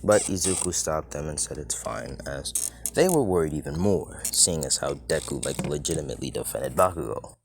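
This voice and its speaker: A man's voice: rumbling low, animated, moderate pace. A man narrating a fan-made story in a loose, chatty way, swearing casually and drifting into side remarks, with a scratchy voice.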